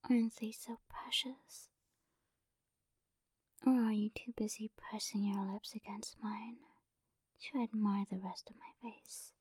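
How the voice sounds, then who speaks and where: A woman doing a whispered romantic ASMR roleplay, speaking softly and coaxingly, close to the microphone. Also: fairly high pitch, dry recording. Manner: soft breathy whispering, affectionate and coaxing. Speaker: ASMR performer.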